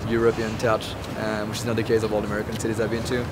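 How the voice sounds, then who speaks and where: Flat-voiced French man in loud street noise. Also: lowish voice, rapid-fire. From France. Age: 20s. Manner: thoughtful, with many uhs. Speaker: interviewee. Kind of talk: street interview answers. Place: city street outdoors.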